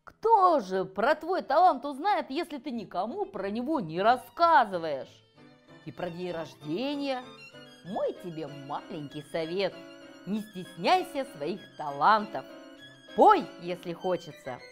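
A woman's voice: medium pitch, very animated, unhurried, soft background music. A woman voicing a kindly old male carp, a grandfatherly adviser in a storybook, speaking emphatically. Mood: encouraging. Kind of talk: children's storybook reading.

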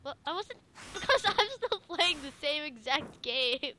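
A woman's voice: sits high, sing-song, unhurried. A young woman chatting casually while playing a video game, as host of a gaming video. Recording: clicky, noisy home gaming recording.

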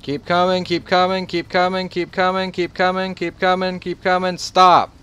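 Male, slightly high voice, commanding sheriff giving step-by-step orders in game role-play. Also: completely flat delivery, moderate pace, fairly bright tone, clean tone.

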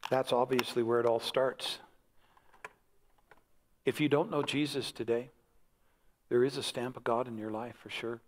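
An American man in his sixties preaching as a pastor in a conversational, folksy way, with short repeated phrases. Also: medium pitch. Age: 60s. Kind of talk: conversational sermon and altar call. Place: large church sanctuary, on stage before congregation.

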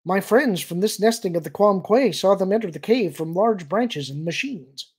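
A man acts out a young winged alien from a storybook, raised well up in pitch. The creature is earnest and eager, speaking in formal, careful phrases with an anxious edge and a slightly husky grain. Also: expressive, normal speed, darkish tone. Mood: urgent.

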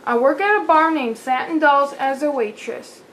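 A woman's voice: sits high, normal speed, a bit bright, slight background noise, rough recording. A young woman voicing a brash, blunt party-girl doll in her early twenties.

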